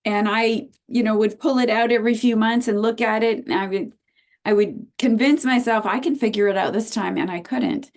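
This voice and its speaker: An American woman in her sixties, a naturopath and teacher, chatting as an interview guest over a video call and telling her story with lively restarts. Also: on the high side, normal speed. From United States.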